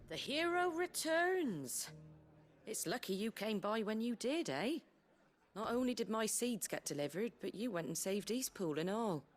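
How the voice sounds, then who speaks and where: A woman playing a brisk, plain-spoken British innkeeper in a video game, earthy, colloquial and wry, tough but kindly. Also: on the high side, big pitch swings. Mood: brisk, teasing. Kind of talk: video game character dialogue. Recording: game music behind the voice.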